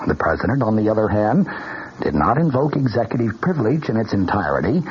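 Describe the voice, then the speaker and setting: Man, low voice, formal news commentary, measured, muffled vintage radio, faint noise. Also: big pitch swings. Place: radio studio.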